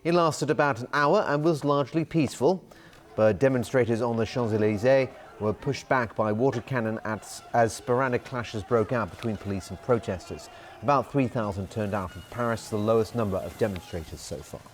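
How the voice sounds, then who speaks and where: A man's voice: mid-range voice, fairly quick. A man narrating a scripted news report.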